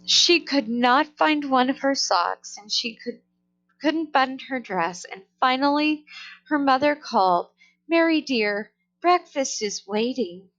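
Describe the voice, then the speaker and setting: A woman reading a children's story aloud in a careful, deliberate way, on a somewhat dull recording. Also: high voice, animated, a bit bright. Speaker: storybook reader. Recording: dull, lo-fi sound.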